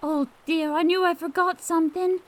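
A woman's voice: fairly even intonation, normal speed. A woman voicing a kindly elderly female neighbor who fusses over the narrator in a motherly, fretful way. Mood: apologetic. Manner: fretful, motherly, high and thin.

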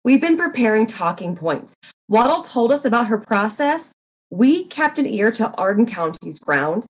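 A woman's voice: a little high, sing-song, moderate pace, husky, low-quality recording. A woman playing a gossipy, self-important guest co-host on a radio show in an audio comedy, earnest, insistent and a little pompous.

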